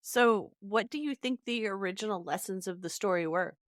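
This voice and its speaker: A woman's voice: medium pitch, normal speed, no echo, clean studio sound. A woman hosting a podcast, chatting casually about a story and steering the discussion.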